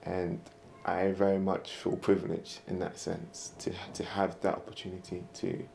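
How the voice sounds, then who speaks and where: A man speaks in a low, gravelly voice, calm and reflective. His tone is mellow and fairly flat. Faint studio hum sits behind him. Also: normal speed. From London. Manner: calm, reflective, soft-spoken. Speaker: woodturner, interviewee. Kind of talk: interview. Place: woodturning studio workshop.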